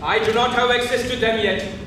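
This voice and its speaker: High-voiced, cold conquering prince played by a man. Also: steady tone, brisk, pushed and bright. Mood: cold command. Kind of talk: stage play acting. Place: theatre stage, recorded from far off. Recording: distant, echoey, noisy stage recording.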